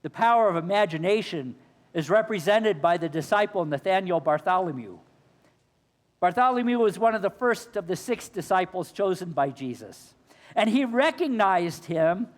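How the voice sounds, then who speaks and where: Male minister, high voice, a bit hoarse, earnest sermon in a long-reverb church. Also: animated, normal speed. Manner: earnest, rhetorical questions to the congregation. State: slightly hoarse. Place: church sanctuary.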